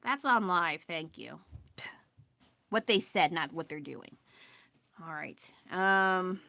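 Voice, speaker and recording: A woman with a lowish, loud voice rambling casually as she paints live from home. She is self-deprecating and laughs often. The sound is close and dry, with no room echo. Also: lively intonation, slow pace, gravelly. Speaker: artist livestreaming her painting. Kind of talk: casual live-stream chat while working. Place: at home with family nearby.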